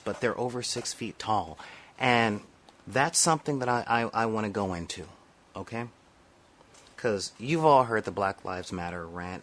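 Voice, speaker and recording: A man's voice: on the low side, a bit bright. Emphatic middle-aged American man. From South Central Los Angeles, United States. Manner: emphatic, earnest, repeats phrases for stress. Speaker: vlogger. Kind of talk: unscripted opinion rant to camera.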